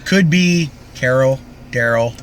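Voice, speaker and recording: A man's voice: medium pitch, slow pace, slight background noise. A man from Canada co-hosting a podcast, recapping television in loose, casual chat. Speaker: podcast co-host.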